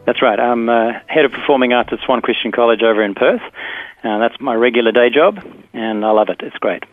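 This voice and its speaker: A middle-aged man from South Africa, a teacher and filmmaker, telling his story thoughtfully with many pauses and fillers over the phone from a school room. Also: medium pitch. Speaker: interview guest, teacher and filmmaker. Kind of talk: phone interview, casual storytelling. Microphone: telephone. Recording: tinny dry phone line.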